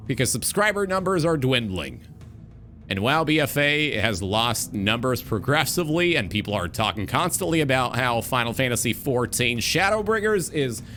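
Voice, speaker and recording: A man hosting a talk show, giving opinionated commentary on gaming in an emphatic, deliberate way with ornate wording. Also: mid-range voice, bright, forceful tone.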